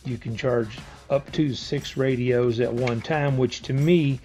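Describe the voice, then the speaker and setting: A man's voice: medium pitch, steady tone, a little slow, dark tone, soft background music. A man giving a casual hands-on product demonstration in a plainspoken, step-by-step explanatory way. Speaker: product reviewer.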